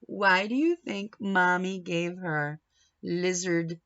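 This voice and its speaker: A woman reading a picture book aloud in an animated, dramatic manner, pausing for asides and questions to young listeners. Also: on the low side, muffled recording. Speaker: read-aloud storyteller.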